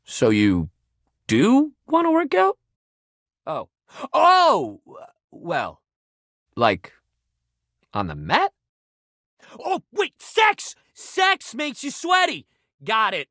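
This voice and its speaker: An actor playing a sports-obsessed, warm-hearted young jock pal in a video game, with exuberant, slangy bro talk. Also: male voice, extremely high pitch, wildly swooping pitch, drawn-out words, long pauses, no room sound, clean studio sound, heavily acted. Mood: excited realization. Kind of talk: video game character acting.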